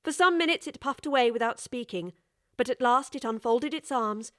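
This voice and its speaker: A woman narrating a scripted storybook audiobook in a measured, articulate way. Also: fairly high pitch, fairly bright tone, no room sound, studio quality.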